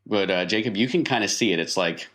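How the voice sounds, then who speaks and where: A man narrating a tabletop game as game master, casually improvising and often pausing to think aloud. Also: lowish voice, fairly bright tone.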